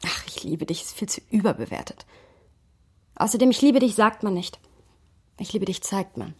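Radio drama role: earnest, bookish young woman, slightly husky voice, intimate dry sound, reflective delivery. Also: medium pitch, animated, normal speed, no room sound. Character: Sophia, thoughtful passionate literary young woman. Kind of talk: radio drama narration and dialogue.

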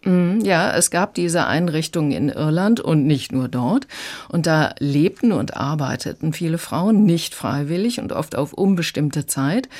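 Female reviewer with a deep voice, explaining books in measured radio talk. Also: darkish tone. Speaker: audiobook reviewer.

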